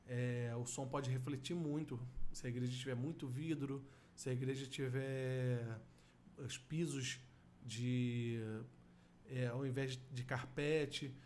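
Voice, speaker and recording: A middle-aged man with a Brazilian accent giving an informal software tutorial as an audio effects instructor, explaining step by step. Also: mid-range voice, takes it slowly. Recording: steady room noise.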